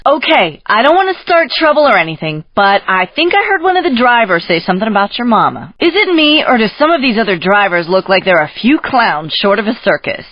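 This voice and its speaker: A woman's voice: fairly high pitch, sing-song, fairly quick, hardly any pauses, dry recording, highs cut off. A woman playing a sassy, cocky street racing coach in a video game, pushy and full of wisecracks. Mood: playful, encouraging.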